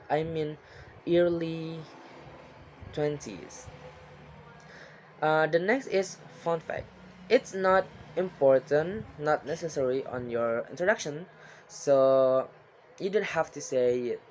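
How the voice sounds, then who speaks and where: A young man giving a spoken exam presentation as a lesson, talking haltingly with frequent restarts and non-native grammar. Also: on the high side, slight background noise.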